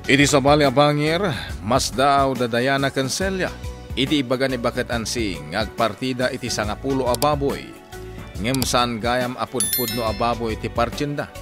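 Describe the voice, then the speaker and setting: A man's voice: medium pitch, moderate pace. A man narrating a radio drama in scripted storytelling style. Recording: music bed under narration.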